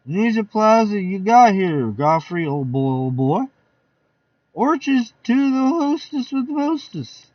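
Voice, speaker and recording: Man doing rowdy shouting party guests. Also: sits high, sing-song, unhurried, no room sound, recorded from a distance, highs cut off, voice pushed up. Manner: loud, rowdy. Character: rowdy loud party guests, rude and boisterous.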